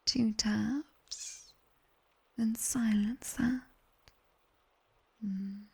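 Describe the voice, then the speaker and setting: A British woman voicing a sharp-tongued, seductive nightmare demon tutor in a breathy whispered ASMR roleplay, commanding and teasing, close on a binaural microphone. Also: a little high, steady tone, extremely slow, heavily acted. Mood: teasing, approving. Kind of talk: whispered ASMR roleplay, guided body scan.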